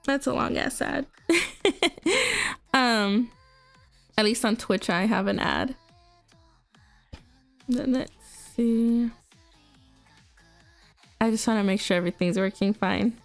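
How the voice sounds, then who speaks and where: A woman's voice: on the high side, slow, gravelly, pauses often. A young woman with a congested voice, chatting casually and rambling with lots of fillers as a livestream host. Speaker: VTuber streamer. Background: faint music.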